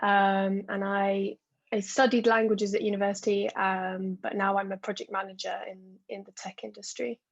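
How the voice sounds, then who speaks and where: A young woman in her twenties speaking hesitantly and flatly on a video call, pausing on ums. Her audio is dry and slightly muffled. She is from England. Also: mid-range voice, gravelly, dry recording. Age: 20s. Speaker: panelist.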